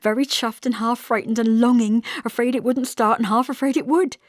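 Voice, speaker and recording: A woman's voice: on the high side, steady tone, a little fast, no room sound, studio quality, pitched above the performer's own voice, close to an everyday voice. A woman voicing an anxious, middle-aged country mother in an audiobook, pleading and eager in her questions.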